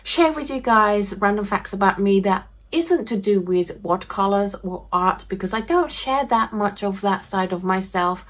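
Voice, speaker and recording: A Japanese-born woman, chatting to camera in an animated way, often laughing, in her home craft room. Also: medium pitch, moderate pace, few pauses. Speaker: craft YouTuber. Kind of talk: casual talk to camera. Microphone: camera facing her at desk distance.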